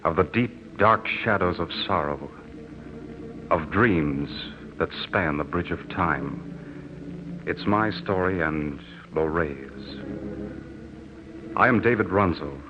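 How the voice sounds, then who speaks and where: A man's voice: deep voice, takes it slowly, long pauses. A man playing an earnest, devoted young soldier in a solemn, sincere style of old radio drama. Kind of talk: 1940s radio drama acting, narration and dialogue. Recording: muffled, noisy old radio recording.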